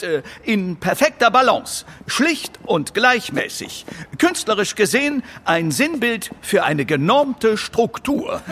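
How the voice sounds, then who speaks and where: In a long, ringing hall, a pompous gallery guide for a children's radio play. His high voice swoops as he lectures with arrogant, condescending self-importance. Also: a bit bright, breathy edge, full character voice. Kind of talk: children's audio drama acting.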